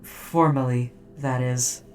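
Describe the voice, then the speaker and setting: A performer voicing a dutiful robot soldier in an audio drama, speaking in a formal, precise, measured and softly hesitant way. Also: medium pitch, fairly even intonation, recorded from a distance, a little hiss or hum, close to an everyday voice. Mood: careful, caring. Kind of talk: scripted roleplay audio drama.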